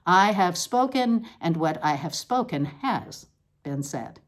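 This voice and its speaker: A woman giving a scripted dramatic reading of literary prose in a deliberate, declamatory manner, leaning emphatically on repeated words. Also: on the low side, expressive. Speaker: reader of a literary essay.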